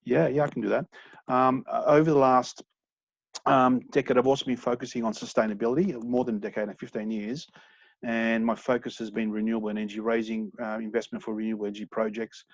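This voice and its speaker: A man introducing himself as an entrepreneur in an online meeting, chatty and relaxed with fillers, heard over dull, lo-fi call audio. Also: mid-range voice, quick pace, no room sound. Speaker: entrepreneur and former lecturer.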